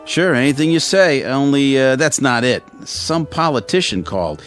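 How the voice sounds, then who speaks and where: A man's voice: medium pitch, animated, normal speed, fairly bright tone, faint music behind, natural voice. An actor playing a chipper, folksy garage helper who defers to his boss in a radio drama. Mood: eager, helpful. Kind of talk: old-time radio drama acting.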